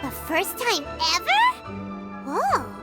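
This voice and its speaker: A woman's voice: very high voice, wildly swooping pitch, slow, heavily acted. A woman voicing a tiny, chirpy fairy girl companion who talks about herself in the third person, in a video game. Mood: curious. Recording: game music behind.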